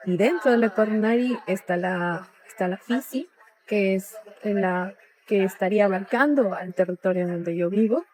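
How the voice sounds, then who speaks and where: A young woman with an Ecuadorian accent giving an informal account as a podcast host, often pausing to search for words and breaking off mid-phrase. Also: medium pitch, a little mellow, low-quality recording. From Otavalo, northern Ecuador. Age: young adult. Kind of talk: informal spoken account to listeners.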